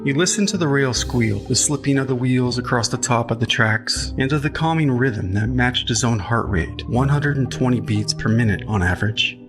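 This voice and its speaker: A man reading scripted literary fiction as a podcast narrator, with a measured storytelling delivery. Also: medium pitch. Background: music, guitar.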